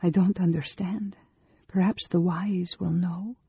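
Guy doing a soft sad magical lady for a storybook, whispery and high-ish, roomy sound. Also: steady tone, unhurried, highs cut off, heavily acted. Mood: fearful, trembling. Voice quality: soft, breathy, trembling. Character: gentle sorrowful magical woman of a secret forest race.

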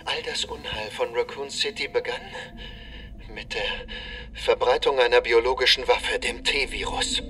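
Embittered male scientist played by an actor, confessional and urgent, forceful bright voice, set back from the mic. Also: mid-range voice, steady tone, measured pace, distant mic. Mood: grave, confessional. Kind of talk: dramatic monologue in an audio drama.

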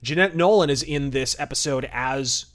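A man's voice: mid-range voice, moderate pace. A man hosting a podcast, giving casual, enthusiastic commentary in an emphatic, conversational way. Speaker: podcast host.

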